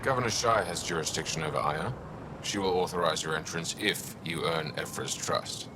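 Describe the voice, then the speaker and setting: Deep-voiced, guarded alien official. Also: male voice, moderate pace, background noise. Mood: stern. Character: guarded alien official, adult male, stern and wary. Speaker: video game voice actor. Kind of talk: game dialogue.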